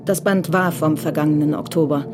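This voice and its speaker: A woman's voice: sits low, steady tone, brisk, over loud music. A woman reading scripted literary narration for a German radio crime drama.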